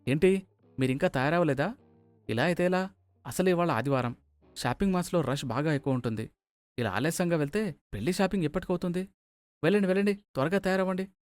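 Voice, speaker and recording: A man plays an earnest young husband-to-be in a storybook drama. His voice sits fairly high, with music faintly behind it. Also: quick pace, pauses often, dry recording, natural voice. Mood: urging. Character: earnest young man, son about to marry.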